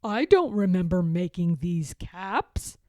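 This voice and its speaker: A woman's voice: fairly low pitch, lively intonation, slow pace, dark tone, dry recording, studio quality, voice pushed down. A woman voicing a kindly, worried peddler man from a children's storybook, musing thoughtfully with emphatic phrasing. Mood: puzzled. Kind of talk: children's storybook read-aloud.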